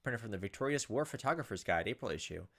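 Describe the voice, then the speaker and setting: A man's voice: lowish voice, expressive, fast talker, creaky, a little hiss or hum. A man hosting gameplay, reading a visual novel aloud with casual commentary. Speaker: gameplay host. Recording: loud game music behind.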